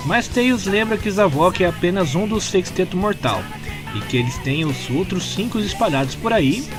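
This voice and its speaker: A man with a Brazilian accent reading scripted story narration in an expressive storytelling manner. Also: high voice, normal speed, music in the background. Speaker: narrator of comic recaps.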